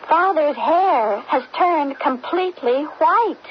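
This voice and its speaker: A worried young student daughter in a vintage radio drama. Her voice sits fairly high, with an earnest, dramatic delivery. The recording is muffled and clearly noisy. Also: lively intonation, slow. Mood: troubled. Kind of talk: old-time radio drama acting.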